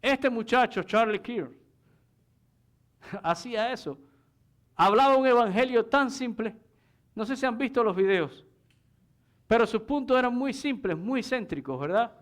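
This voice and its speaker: A man's voice: very high-pitched, animated, a little slow, long pauses, fairly bright tone. A man preaching a sermon in a didactic manner, explaining scripture and putting rhetorical questions to his listeners. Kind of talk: sermon with scripture exposition and anecdotes.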